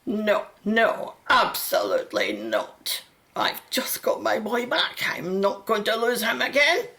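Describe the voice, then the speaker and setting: A woman's voice: mid-range voice, very animated, slow, pushed and bright, full character voice. A woman playing an anxious, protective mother in a radio play. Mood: distraught, protective.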